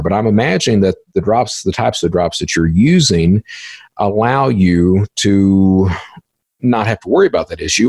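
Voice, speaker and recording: A man's voice: fairly low pitch, breathy edge, no echo. A man hosting a podcast interview, explaining things methodically, step by step.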